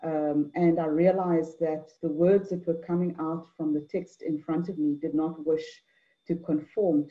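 A middle-aged woman from Mauritius gives an online talk on a video call, measured, with many fillers and repeated words. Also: sits low. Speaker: anthropologist and poet speaker. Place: indoor room at home. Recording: dull lo-fi video call audio.

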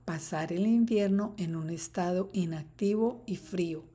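A woman teacher reading a children's picture book aloud, slowly and carefully. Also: lowish voice, mellow, husky. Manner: slow, careful read-aloud. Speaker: third-grade teacher.

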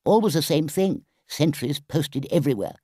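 A man's voice: on the high side, animated, normal speed, darkish tone, no echo, clean studio sound, pitched above the performer's own voice. A man voicing a grumpy, earnest male mole in a storybook, with an emphatic, complaining way of talking.

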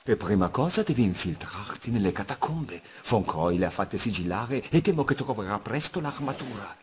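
A man's voice: expressive, moderate pace, close to an everyday voice. A man playing an earnest, worried ally and dig leader in a fan-dubbed game cutscene, speaking with urgency. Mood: grave, explaining. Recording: noisy, low-quality amateur dub.

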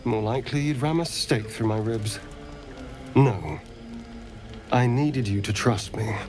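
A man's voice: medium pitch, unhurried, music in the background, close to an everyday voice. An actor playing a suave, sly vampire man in a video game, with theatrical, dramatic delivery and an undercurrent of need. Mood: earnest, pleading. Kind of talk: video game character acting.

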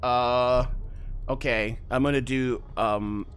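A streamer giving casual, expressive livestream commentary while playing a game. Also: medium pitch, slow pace, a little hiss or hum. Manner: animated, expressive. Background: game music.

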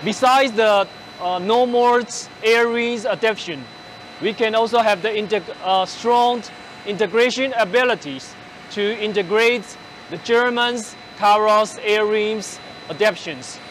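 A man in his forties presenting machinery on a large factory floor, speaking haltingly with many fillers and restarts, using a lapel mic. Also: high voice, fairly bright tone, a little hiss or hum, low-quality recording. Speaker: company presenter on a factory tour. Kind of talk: product walkthrough.

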